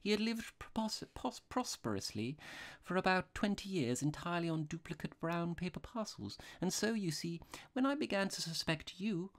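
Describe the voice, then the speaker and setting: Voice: medium pitch, lively intonation, normal speed, pitched above the performer's own voice, lightly acted. A reader voicing a mild, vague little rustic priest in a storybook, unworldly, hesitant and apologetic.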